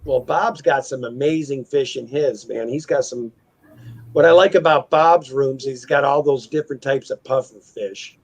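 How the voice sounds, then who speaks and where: A man chatting loudly and easily on a livestream while at his workplace. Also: mid-range voice, normal speed. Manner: loud, chatty, easygoing. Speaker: co-host on a stream from his workplace. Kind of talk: casual livestream chat.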